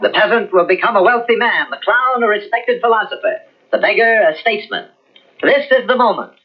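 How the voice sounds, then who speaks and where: A man's voice: sits high, big pitch swings, brisk. An actor playing a nervous, scheming male murder suspect in an old radio mystery, stammering when cornered. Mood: grand. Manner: flustered, stammering. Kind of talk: 1940s radio acting. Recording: muffled old radio recording.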